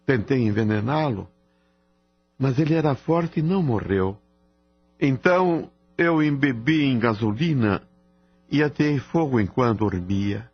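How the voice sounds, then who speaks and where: A man acting in a radio drama as a guilt-ridden father, grave and remorseful, with a husky, gravelly voice. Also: mid-range voice, lively intonation, a little slow. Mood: remorseful confession. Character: guilt-ridden father confessing a cruel deed. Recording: dull lo-fi radio drama, faint music.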